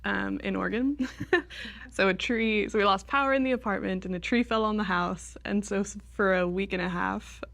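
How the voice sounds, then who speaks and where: A woman's voice: mid-range voice, steady tone, moderate pace, vocal fry, a bit bright. A woman in a casual class discussion, telling a personal story in a wry, chuckling way. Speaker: class participant.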